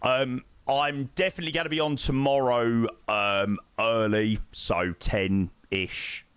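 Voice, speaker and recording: A man with a hoarse, croaky voice, chatting casually to his stream audience and rambling with lots of ums. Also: medium pitch, steady tone, slow pace, fairly bright tone, dry recording. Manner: rambling, self-interrupting, frequent ums. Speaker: flight sim streamer.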